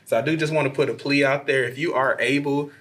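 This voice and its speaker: Man, slightly hoarse, earnest, council member speaking at a meeting, middle pitch, plain delivery. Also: fairly even intonation, fast talker, a bit bright. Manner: earnest appeal with frequent fillers. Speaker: city councilman. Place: city council chamber.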